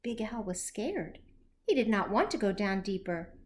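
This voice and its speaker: A woman reading a children's story aloud as a teacher would, expressively and with deliberate phrasing for young listeners. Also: mid-range voice, a little hiss or hum. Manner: animated, slow, deliberate, with questions to young listeners.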